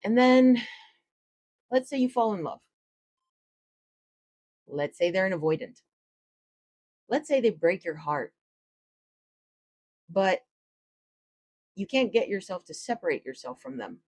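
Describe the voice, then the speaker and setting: A woman with a low voice, a tarot reader gently explaining spiritual ideas in a casual, reflective talk to camera. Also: lively intonation, slow pace, long pauses.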